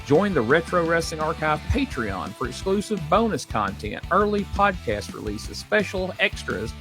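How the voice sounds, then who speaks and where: Guitar music plays under a man with a slightly high voice. He reads a scripted promo as an announcer, listing shows and offers. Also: big pitch swings, normal speed, sharp tone.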